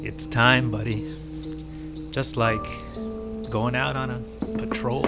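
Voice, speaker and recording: An actor playing a calm, gently insistent soldier back from the dead in a radio drama, with a soothing, familiar manner. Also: male voice, medium pitch, takes it slowly, vocal fry. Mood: quietly insistent. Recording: old radio drama, loud music behind.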